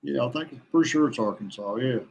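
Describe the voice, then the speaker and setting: A man chatting casually as a podcast guest, folksy and halting, often repeating his words. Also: mid-range voice, creaky, darkish tone, husky. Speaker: podcast guest, veteran kayak angler. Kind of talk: casual chat.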